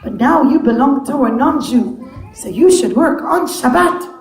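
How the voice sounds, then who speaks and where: A woman voicing a kindly, reassuring man who explains things gently and patiently in a children's story. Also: high-pitched, expressive, a little slow, echoey room. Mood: gentle, coaxing.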